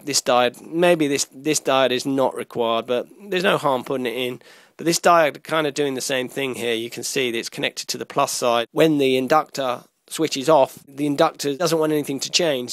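A man giving an informal electronics tutorial, explaining step by step in a halting way, often repeating and rephrasing himself. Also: medium pitch, a bit bright, dry recording. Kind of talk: informal explanatory lesson walking through a diagram.

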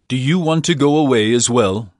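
A man's voice: medium pitch, moderate pace, darkish tone, no room sound, studio quality, lightly acted. An actor playing an authoritative, reproving male teacher in a dramatized scripture reading, with emphatic, declamatory delivery. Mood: solemn.

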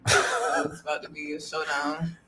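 A young woman chatting casually on a podcast, talking in a flat, monotone way with little energy. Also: low voice, normal speed. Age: young adult. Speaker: blind date guest. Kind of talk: casual chat. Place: small podcast studio, indoors. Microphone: studio microphone held close.

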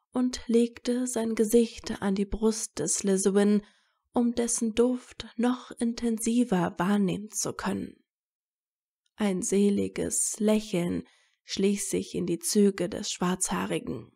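A woman reading scripted romantic fiction as a narrator in soft, measured storytelling. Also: medium pitch, gravelly.

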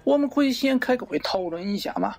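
A man's voice: extremely high pitch, expressive, quick pace. A man playing a pompous middle-aged wholesale market director in a parody radio drama, avuncular and lecturing, crude yet fond of poetry. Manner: avuncular, lecturing, theatrical, abruptly swearing.

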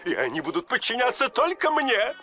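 An actor playing a scheming, arrogant mad scientist villain in a game dub, with a gloating, bossy delivery. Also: male voice, extremely high pitch, big pitch swings, a little fast, pushed and bright, soft background music, full character voice.

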